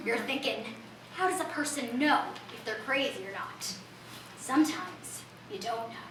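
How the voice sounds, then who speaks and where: An actress playing an eccentric street woman who waits for space aliens, talking in a raspy voice and rambling confrontationally at passersby with cackling laughs in a theatrical comic monologue. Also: on the high side, lively intonation, unhurried, noisy background. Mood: musing. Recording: roomy, distant mic.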